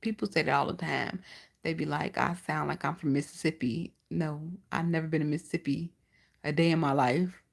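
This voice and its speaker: A woman's voice: deep voice, a little fast, creaky. A woman with a Chicago accent and a lisp, chatting bluntly and profanely on a livestream as its host. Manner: blunt, profane and animated, answering chat. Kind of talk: casual livestream chat.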